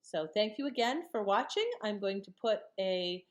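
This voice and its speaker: Woman channel host, casual outro chat, average pitch. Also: lively intonation, normal speed.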